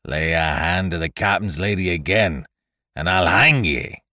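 A man's voice: sits low, a little slow, sharp tone, no room sound, studio quality. A man voicing a brutal, drunken, leering pirate captain with archaic sailor speech in an adventure audiobook. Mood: menacing. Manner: roaring, maudlin jocularity, archaic sailor speech.

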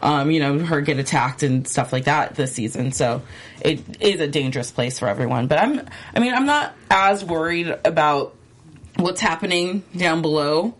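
A woman with a notably deep, low voice co-hosting a casual podcast. She gives her views in a relaxed and measured way and takes care not to overstate them. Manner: relaxed, measured, voicing opinions carefully. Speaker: podcast co-host. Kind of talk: casual chat.

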